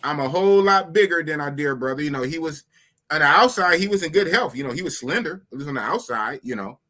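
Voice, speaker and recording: A man's voice: a little high, fast talker. A man in his fifties hosting a live podcast, chatting loosely with his viewers and repeating himself. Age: 50s. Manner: animated, talks to chat, repeats phrases. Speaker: podcast host. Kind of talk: casual live-stream chat.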